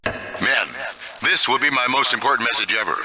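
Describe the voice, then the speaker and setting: An actor playing a formal, commanding military general giving measured, authoritative announcements over a radio in a video game. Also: male voice, medium pitch, lively intonation, a crowd in the background, rough recording.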